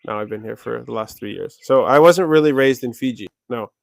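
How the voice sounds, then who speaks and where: A man's voice: mid-range voice, a little fast, gravelly, no room sound. A young man from Canada hosting a livestream, answering viewer questions in a loose, rambling way full of "like" fillers and restarts. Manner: rambling, many "like" fillers and self-corrections. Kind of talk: casual livestream Q&A.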